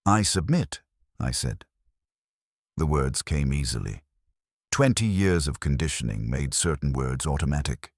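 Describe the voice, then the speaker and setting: A man reading a scripted dramatic story in the first person, solemn and measured, with clipped phrasing. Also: very deep voice, lively intonation, gravelly, darkish tone, dry recording, studio quality. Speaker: narrator.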